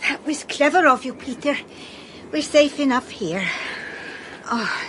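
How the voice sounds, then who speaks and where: A woman's voice: high-pitched, animated, unhurried, fairly bright tone. A woman playing a spirited, chatty young girl in a radio drama, colloquial and well-spoken. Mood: weary, uneasy. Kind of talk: British radio drama acting. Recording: clear outdoor ambience noise.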